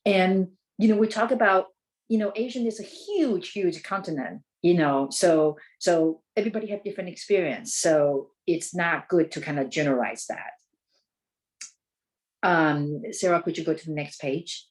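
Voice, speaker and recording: A woman from Taiwan with a Taiwanese accent, speaking as an advocate in an informal presentation, haltingly, often rephrasing as she goes. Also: fairly low pitch, poor audio. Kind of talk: conversational presentation.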